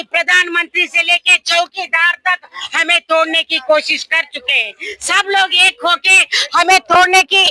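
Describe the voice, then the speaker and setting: A woman giving a fiery rally speech to a crowd as an investor activist, impassioned and shouting at times, her voice breaking with emotion. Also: very high-pitched, rough recording.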